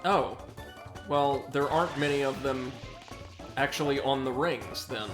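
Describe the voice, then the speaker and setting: A man's voice: medium pitch, measured pace. An American man streaming a video game live, rambling along with self-deprecating puns and reading out chat messages as he plays. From United States. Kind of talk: casual live commentary while gaming. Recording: game music underneath. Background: video game music.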